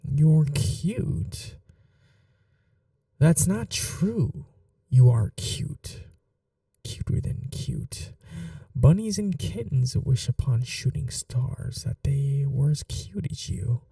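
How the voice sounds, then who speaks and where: Obsessive lovestruck kidnapper, male actor, soft and breathy, slow delivery with animated pitch swings, awkwardly tender ASMR roleplay. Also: no echo, clean studio sound, distant mic, heavily acted. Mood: doting, earnest. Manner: gentle, halting, coaxing reassurance. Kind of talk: intimate ASMR roleplay, often near whispering.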